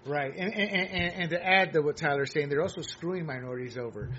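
A man from Puerto Rico co-hosting a political commentary show, talking emphatically and getting loud when he makes a point. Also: fairly high pitch, fairly quick. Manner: animated, emphatic, rising to near shouting when worked up. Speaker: co-host. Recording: dull lo-fi sound with faint noise.

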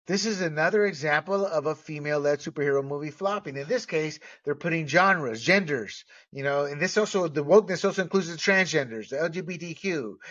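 A middle-aged American man speaks his opinions solo in a fairly high-pitched voice. His delivery is emphatic but halting, with key words drawn out. The recording is somewhat dull and lo-fi. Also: a bit bright. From United States. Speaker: movie commentator. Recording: dull, lo-fi sound.

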